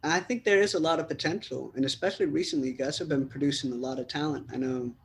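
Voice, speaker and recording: A man's voice: a little high, quick pace, a little hiss or hum. A man from the United States interviewing a footballer, asking long, rambling questions in a chatty way.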